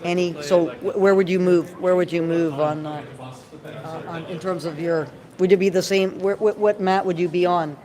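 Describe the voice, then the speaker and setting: A man interviewing a passerby, asking calm, probing questions and giving brief acknowledgements. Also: high voice, normal speed, a crowd in the background.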